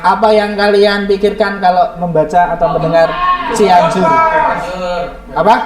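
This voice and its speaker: A man teaching a class, hoarse and slightly raspy from a cold, quizzing his students in a lively way. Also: sits high, a little slow, a loud crowd. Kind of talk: classroom lesson. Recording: echoey classroom from far off, students behind.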